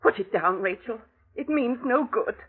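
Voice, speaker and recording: A woman's voice: fairly high pitch, expressive, moderate pace, slightly rough, phone-quality sound. An actress playing an anxious, stern middle-aged English lady and mother in a 1950s radio drama. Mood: alarmed.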